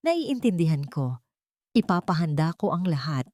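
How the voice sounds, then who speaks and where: Gentle Filipino woman doctor, as a narrator plays her. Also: sits low, very animated, normal speed, no echo, studio quality. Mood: reassuring. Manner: gentle, reassuring. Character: gentle, understanding female doctor.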